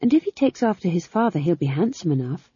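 A woman's voice: fairly low pitch, sing-song, fast talker, warm tone, slightly rough, dry recording, dull audio, heavily acted. A woman voicing an earnest, prim, idealistic younger witch with brittle politeness in an audiobook. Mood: earnest, hopeful. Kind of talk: audiobook character voice.

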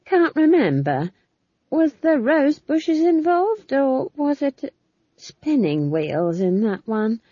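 A woman's voice: sits high, big pitch swings, slow pace, warm tone, no room sound, dull audio, pitched above the performer's own voice, exaggerated voice. A woman voicing a bawdy, cheerful old village witch who rambles and gossips, reading for an audiobook. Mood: rambling. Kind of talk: audiobook character voice.

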